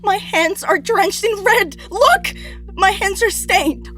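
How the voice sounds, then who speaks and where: A woman's voice: very high-pitched, slow, exaggerated voice. A woman playing an anguished, guilt-ridden young princess in a poetic stage tragedy, intense and rhetorical. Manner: intense, rhetorical, swinging between outcry and hush. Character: anguished young Cretan princess racked with guilt over brother's death.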